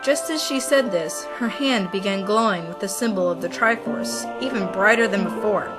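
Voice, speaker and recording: A woman's voice: medium pitch, normal speed. A woman narrator reading a scripted, dramatic story for an audio play. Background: loud music.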